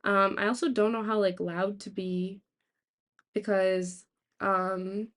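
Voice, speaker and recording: Raspy young woman, slow and halting. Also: mid-range voice, fairly even intonation, a bit bright. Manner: halting, rambling, thinking aloud. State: sick with a sore throat. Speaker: live streamer. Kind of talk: casual chat with live stream viewers. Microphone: laptop, without usual microphone.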